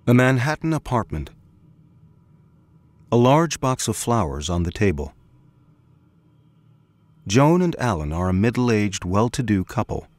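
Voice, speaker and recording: A man's voice: fairly low pitch, very animated, a little slow, pauses often, a little mellow, no echo. A man reading scripted introductions and scene-setting narration as the announcer of a radio drama.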